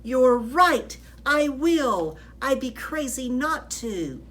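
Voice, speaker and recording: A woman voicing a friendly, earnest, excitable middle-aged male janitor in a children's storybook, with chatty, emphatic delivery. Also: sits high, slow pace, voice pushed up, full character voice. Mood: excited, shouting.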